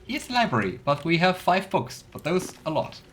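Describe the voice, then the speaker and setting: A man's voice: on the high side, sing-song, a little fast, a little hiss or hum. A man giving casual, chatty game commentary as a let's play streamer, sometimes reading game text aloud.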